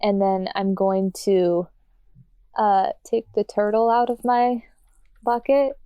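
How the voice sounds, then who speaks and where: A woman's voice: mid-range voice, little pitch movement, slow pace, clear, resonant tone, no room sound, dull audio. A woman chatting informally as a player in a tabletop roleplaying game, describing what a character does. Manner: informal, conversational. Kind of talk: casual improvised roleplay chat.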